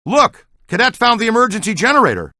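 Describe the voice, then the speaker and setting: Eager, animated ghost hunter. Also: male voice, high-pitched, quick pace, no echo, studio quality, exaggerated voice. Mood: urgent, alarmed. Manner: earnest, technical jargon, urgent call-outs. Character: eager, talkative ghost-hunting man, earnest and excitable. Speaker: video game voice actor. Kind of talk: video game character dialogue.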